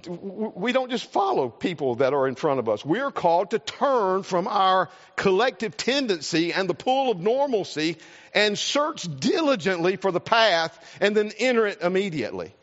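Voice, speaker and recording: A man's voice: sits high, big pitch swings, moderate pace. A man with a South Carolina accent, preaching a sermon and telling a personal story in a folksy, earnest way. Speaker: pastor. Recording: reverberant hall, dull lo-fi sound.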